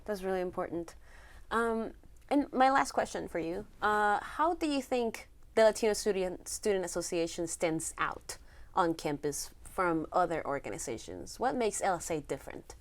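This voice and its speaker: A young woman interviewing a student for a campus news show, asking soft, attentive questions. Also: on the low side, expressive, moderate pace.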